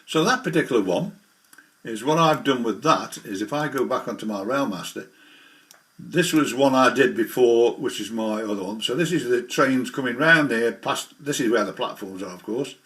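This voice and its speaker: A man giving a casual, rambling, halting software demonstration as a hobbyist. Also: medium pitch. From the English Midlands.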